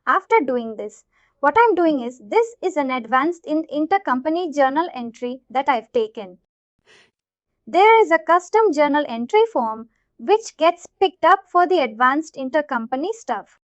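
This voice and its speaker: A woman with a very high voice gives an informal, step-by-step tutorial as a trainer. She explains as she clicks along and often checks in with the listener. The sound is dry and close, with a dull, low-fidelity tone. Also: sing-song, moderate pace, dry recording. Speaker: software trainer. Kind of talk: informal screen-share tutorial narration. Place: voice-over of a screen recording.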